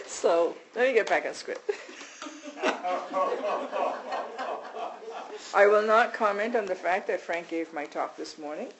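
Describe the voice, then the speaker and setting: A meditation teacher from Canada giving a mindfulness retreat talk, halting and self-deprecating, with frequent restarts. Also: mid-range voice.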